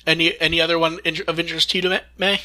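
A man's voice: a little high, little pitch movement, quick pace, bright, forceful tone. A man hosting a casual fan news discussion, talking in an enthusiastic, rambling way and occasionally swearing. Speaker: podcast host.

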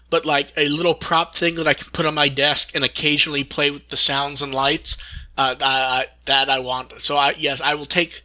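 A man's voice: on the high side, pushed and bright. A man hosting a casual fan news discussion, talking in an enthusiastic, rambling way and occasionally swearing. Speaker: podcast host.

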